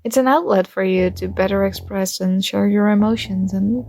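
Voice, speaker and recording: A young woman playing a shy, warm college girl with a secret crush, speaking softly and hesitantly in an intimate ASMR roleplay. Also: medium pitch, normal speed, creaky, faint music behind, lightly acted. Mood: gentle, curious.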